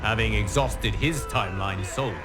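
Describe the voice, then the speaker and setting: An actor playing a noble, godlike guardian of time in a video game, narrating cutscenes in a grave, measured, formal manner. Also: male voice, lowish voice, music in the background, close to an everyday voice. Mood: grave warning. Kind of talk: scripted dramatic cutscene narration.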